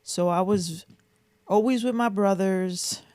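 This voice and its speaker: A woman's voice: mid-range voice, slow pace, a little mellow, no echo. A middle-aged woman from the Boston area talks in a mid-range voice with very little room sound. She reminisces casually and candidly, repeating phrases to press her point. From Boston area, Massachusetts. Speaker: interview guest, mother.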